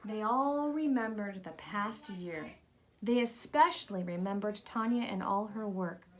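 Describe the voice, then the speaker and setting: A woman's voice: medium pitch, measured pace, slight background noise. A woman reading a children's picture book aloud as scripted story narration. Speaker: storybook reader.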